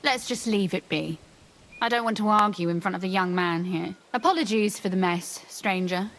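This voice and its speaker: An actress voicing a feisty, spirited young merchant's daughter in a video game. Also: female voice, mid-range voice, normal speed, a bit bright, lightly acted. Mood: wry. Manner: defiant, spirited.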